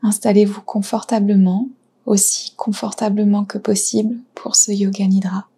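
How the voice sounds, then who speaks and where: A woman guiding a yoga nidra relaxation, speaking softly and soothingly with pauses between short phrases. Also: mid-range voice, little pitch movement. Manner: soft, breathy, soothing delivery with pauses. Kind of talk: guided relaxation meditation.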